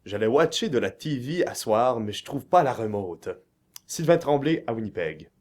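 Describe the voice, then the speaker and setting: A man's voice: medium pitch, animated, moderate pace, darkish tone. Casual young man, mid-range voice. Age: young adult. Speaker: youth project member. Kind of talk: scripted sketch delivery.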